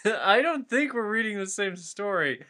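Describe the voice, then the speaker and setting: A man's voice: high-pitched, sing-song, measured pace, pushed and bright. A man doing a comic dramatic reading of fan fiction, amused and breaking off to chat about the text. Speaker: reader and co-host.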